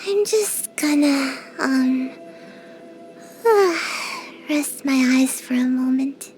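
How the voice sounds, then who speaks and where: A woman's voice: high-pitched, expressive, drawn-out words, a little mellow, soft background music, exaggerated voice. A woman voicing a small, sleepy girl ninja in a video game, drowsy and sighing, always avoiding work. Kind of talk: video game character voice lines.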